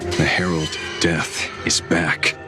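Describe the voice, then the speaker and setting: An actor playing a brooding, bitter detective in a dramatic video game, terse and intense in delivery. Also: male voice, very deep voice, fairly even intonation, takes it slowly. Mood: guarded, grim. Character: brooding, bitter male detective haunted by loss. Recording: music under the dialogue. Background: music.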